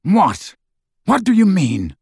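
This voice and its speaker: A man's voice: a little high, very animated, measured pace, breathy edge, dry recording, studio quality, exaggerated voice. A man voicing an anguished, bitter middle-aged wizard in an audiobook, clipped, intense and contemptuous. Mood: confused. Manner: tormented, clipped, contemptuous delivery.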